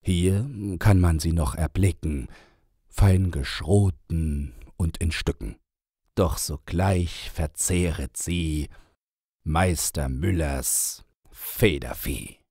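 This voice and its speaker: A man narrating a storybook in verse as an audiobook reader, measured and with dramatic pauses. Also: very deep voice, dark tone, breathy edge, no room sound, studio quality.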